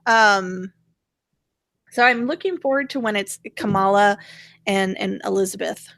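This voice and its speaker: An American woman co-hosts a casual political chat with an irreverent, opinionated manner. Her pitch sits in the middle for a woman, and the sound is dry, with very little room in it. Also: unhurried, vocal fry, fairly bright tone, dry recording. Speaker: podcast co-host.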